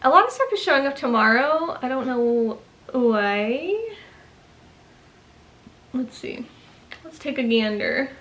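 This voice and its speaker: Young woman, slightly higher voice, chatty rambling vlog talk, a little stuffy-nosed. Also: animated, slow pace, long pauses, a bit bright. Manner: chatty, rambling, many ums and asides. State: stuffy from dust allergy. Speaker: small business owner vlogging. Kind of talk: casual vlog chat to camera. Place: home studio office.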